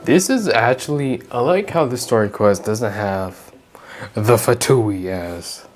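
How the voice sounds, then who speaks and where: A man's voice: mid-range voice, lively intonation, slow, soft background music. A man casually commenting while playing a story game, reacting with amusement. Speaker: gameplay streamer. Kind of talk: casual commentary over gameplay.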